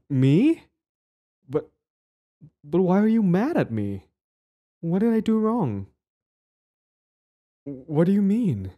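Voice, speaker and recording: A man's voice: mid-range voice, warm tone. A young man acting a cocky, possessive and smitten bully in an audio roleplay spoken to the listener. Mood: flustered, pleading. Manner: stammering, swaggering, profane.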